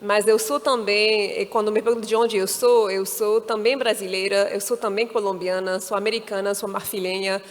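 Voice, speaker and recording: A woman's voice: mid-range voice, level tone, moderate pace, few pauses. A woman from Ivory Coast speaking on a conference panel, thoughtful, often searching for words and restarting. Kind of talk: panel discussion. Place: conference stage. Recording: reverberant hall.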